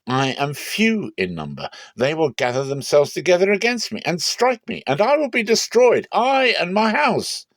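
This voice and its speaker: A man's voice: high-pitched, very animated, moderate pace, hardly any pauses, a bit bright, no echo, studio quality, pitched above the performer's own voice, full character voice. A man voicing an aggrieved, fearful old patriarch in an emphatic, anguished manner, in a scripture reading.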